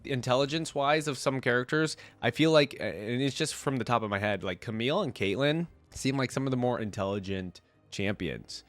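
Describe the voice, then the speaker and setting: A man's voice: mid-range voice, expressive, brisk, few pauses, no room sound. A man with a head cold giving casual running commentary as the host of a reaction video. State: congested with a cold.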